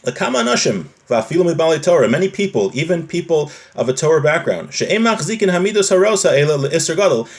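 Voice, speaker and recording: A man's voice: on the high side, very animated, quick pace, few pauses. A man teaching a live religious class, reading from a text, translating it and explaining it point by point, often rephrasing.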